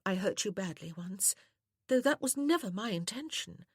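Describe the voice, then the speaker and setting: A woman voicing a soft, sweet, tearfully reproachful young widow in a period romance audiobook. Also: mid-range voice, lively intonation, normal speed, breathy edge, dry recording, clean studio sound, pitched above the performer's own voice. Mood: wounded reproach.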